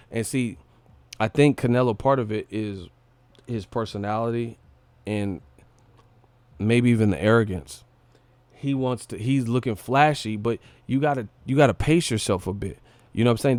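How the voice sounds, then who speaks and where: A man's voice: mid-range voice, a little mellow, no echo. A man hosting a casual livestream fight breakdown, blunt and opinionated, with slangy talk and plenty of swearing. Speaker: livestream host, boxing commentator.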